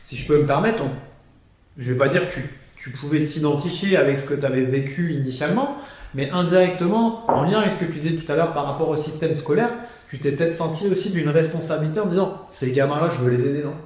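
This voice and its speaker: A man's voice: a little high, quick pace, a little mellow. A male interview host who prompts his guest and speaks directly to the audience with encouragement. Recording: very echoey room.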